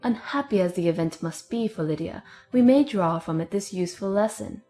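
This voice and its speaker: A woman's voice: mid-range voice, brisk, mellow, faint music behind, natural voice. A woman playing a pompous, moralizing young sister, solemn and preachy, in a dramatized period audiobook. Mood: grave, moralizing.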